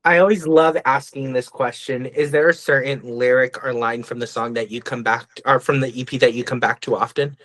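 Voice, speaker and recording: A man's voice: mid-range voice, fairly even intonation, a little fast, few pauses, a bit bright, no room sound. A man hosting a podcast, asking questions in a casual music interview. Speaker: podcast host.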